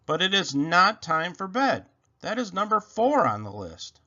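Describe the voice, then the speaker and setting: An excitable young rabbit, pitched far up high and whiny. He is fussy and quick to get upset, swooping through his lines like a storybook character, on a slightly dull recording. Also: heavily acted. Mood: doubtful. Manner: whiny, exclaiming, easily upset. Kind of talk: children's storybook read-aloud.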